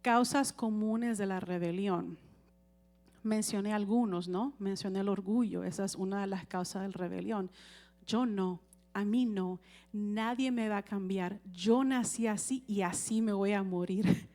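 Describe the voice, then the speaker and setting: A woman preacher, delivering a conversational sermon at an ordinary mid pitch. She keeps checking in with the congregation and calls for amens as she goes. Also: a little slow, a little mellow.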